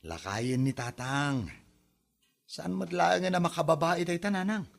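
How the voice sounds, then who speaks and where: A man's voice: fairly high pitch, animated, measured pace, creaky. A man playing an exasperated grown son in an Ilocano comedy drama, chiding and reasoning with his parents in an Ilocano Filipino accent.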